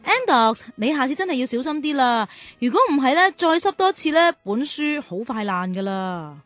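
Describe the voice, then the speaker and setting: A woman playing a patient class teacher, gently chiding and explaining things in a children's radio drama. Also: high voice, big pitch swings, moderate pace, dry recording. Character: patient young woman class teacher guiding cartoon calves.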